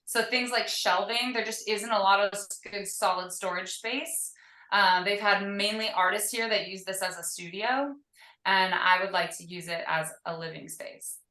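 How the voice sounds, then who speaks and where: Energetic woman in an echoey room. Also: medium pitch, normal speed. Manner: energetic, upbeat, lots of fillers. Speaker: interview guest, musician. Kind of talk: casual video interview. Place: tiny shipping-container home.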